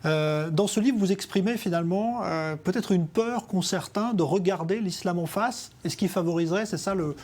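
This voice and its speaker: A man hosting a TV studio interview, asking brisk, leading questions. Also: high-pitched, a little mellow. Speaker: TV host.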